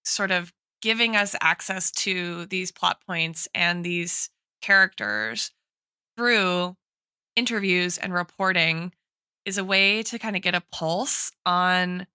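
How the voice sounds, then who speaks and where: A woman with a bright, forward voice discusses books on a podcast like a thoughtful teacher. She reasons out loud, qualifying her points as she goes. The sound is dry and close. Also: lowish voice, takes it slowly, clear, resonant tone, no room sound. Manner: reflective, analytical, hedging with frequent tags like "right" and "like". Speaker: podcast host and guest expert, a teacher.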